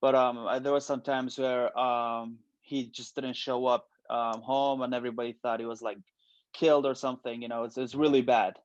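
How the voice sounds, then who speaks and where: A man's voice: medium pitch, normal speed, dry recording. Iraqi-born man with dull, dry audio. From Iraq. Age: late 20s. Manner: relaxed, lots of ums and likes. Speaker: bodybuilder guest. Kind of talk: casual interview.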